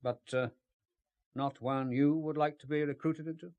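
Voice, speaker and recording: An actor playing a cagey, ruthless British spymaster in an audio drama, with formal, understated speech and a husky voice. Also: male voice, a little high, fairly even intonation, normal speed, a little mellow, dull audio, lightly acted. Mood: wry.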